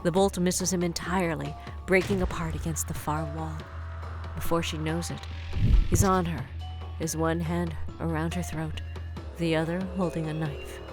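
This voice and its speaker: A woman's voice: sits low, level tone, normal speed, music in the background. A woman delivering dramatic scripted narration for an audio drama.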